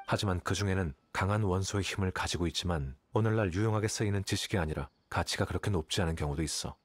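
An actor playing an aloof, calculating young scholar in a video game, speaking in a detached, measured, condescending way. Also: male voice, low voice, breathy edge, no room sound. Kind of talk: video game character dialogue. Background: game music.

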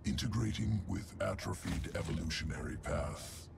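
A man voicing a cold, clinical alien creature in a video game, with a gravelly growl and clipped, detached phrasing. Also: deep voice, normal speed, heavy background noise. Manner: clipped, detached, omits small words. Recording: music under the voice.

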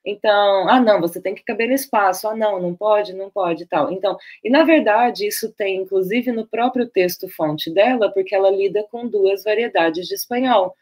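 Brief: Brazilian woman presenting research from home over a webcam, unhurried, hesitant and searching for words. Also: mid-range voice, darkish tone. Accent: Brazilian Portuguese. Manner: loose, searching delivery with many fillers and restarts. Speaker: guest speaker. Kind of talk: academic talk over slides. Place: home room, on a video call.

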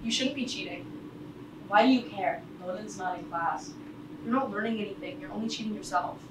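A woman's voice: medium pitch, fairly quick, lightly acted. A young woman playing an earnest, morally upright schoolgirl in a hidden-camera comedy skit. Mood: reproachful. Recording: roomy, distant mic, noisy room.

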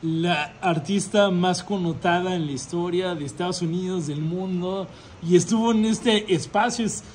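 A man's voice: sits high, moderate pace. A man with a Mexican accent hosting an informal interview in an effusive, rambling way. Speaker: host interviewer of a city history channel. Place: restaurant dining room, indoors. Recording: background noise, restaurant room ambience.

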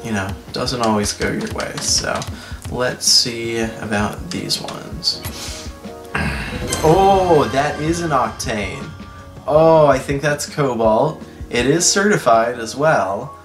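A young man, a gaming YouTuber, talking casually and low-key over his gameplay in a slightly hoarse voice, with lots of fillers. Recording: roomy echo with music underneath.

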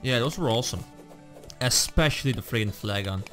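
A man's voice: medium pitch, animated, a little fast, fairly bright tone. Male gaming host, casual and chatty, mid-range voice, prominent game music underneath. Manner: chatty, addresses viewers while playing. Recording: music playing behind the voice.

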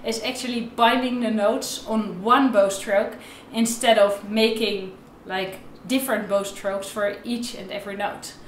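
A woman's voice: mid-range voice, steady tone, measured pace, hardly any pauses, recorded from a distance, slight background noise. A young woman giving an instructional tutorial to camera as a music teacher, recorded indoors in a bare room. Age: young adult. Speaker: violin teacher. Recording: roomy, echoey indoor sound.

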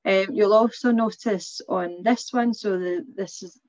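A woman's voice: mid-range voice, steady tone, a little slow, darkish tone, dry recording. A woman in her thirties with a Glasgow Scottish accent, presenting a clinical webinar on a video call in a chatty, informal way with many ums and restarts. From Scotland. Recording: dry, slightly dull webinar audio.

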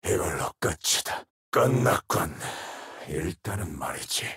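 An actor playing a menacing, tormented shadow wraith in a video game, with a gravelly, breathy rasp and grave, terse delivery. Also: male voice, deep voice, sing-song, unhurried, a bit bright, heavily acted. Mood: cold, menacing. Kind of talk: video game character voice lines.

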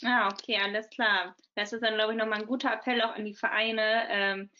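A woman's voice: fairly high pitch, level tone, brisk, bright, forceful tone. A woman in her twenties hosting a podcast over a video call, asking friendly questions. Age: 20s. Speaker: podcast host. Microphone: video call from home. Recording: dull lo-fi video call audio.

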